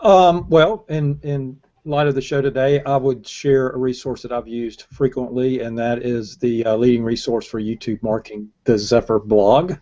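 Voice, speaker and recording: Man, sixties, American accent, mellow dark tone, casual panelist, lo-fi video call. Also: mid-range voice, little pitch movement, moderate pace, hardly any pauses. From United States. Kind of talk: casual commentary. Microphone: earbud headset on a video call. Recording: dull lo-fi video call audio.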